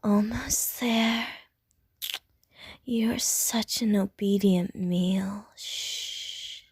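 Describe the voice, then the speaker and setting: A woman voicing a flirtatious, hungry female vampire in an ASMR audio roleplay, whispering softly and teasingly. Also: mid-range voice, extremely slow, breathy edge, no echo, clean studio sound, exaggerated voice. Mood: sultry whisper.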